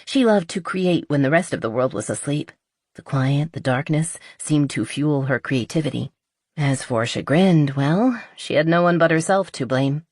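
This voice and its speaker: Low-voiced woman narrating a romance audiobook. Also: expressive, moderate pace, darkish tone, no echo, studio quality. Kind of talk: scripted romance novel narration.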